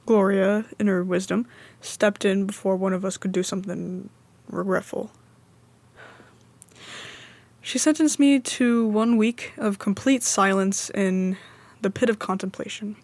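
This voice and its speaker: A woman's voice: mid-range voice, steady tone, moderate pace, a little mellow, no room sound, close to an everyday voice. A woman playing a placidly loyal, reformed commune member, telling her story confidingly in an audio comedy testimonial.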